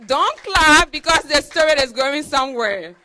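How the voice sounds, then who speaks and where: A woman's voice: sits high, expressive, moderate pace, sharp tone. A young woman from Liberia, telling a personal story in a motivational speech to fellow students, emphatic and repeating her key phrases. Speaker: student speaker at a celebration.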